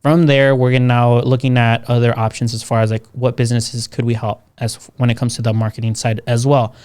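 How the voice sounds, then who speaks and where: A man in his thirties with a smooth, clear voice, talking in a casual podcast conversation. He speaks in a measured, deliberate way. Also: medium pitch, little pitch movement, darkish tone. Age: 30s.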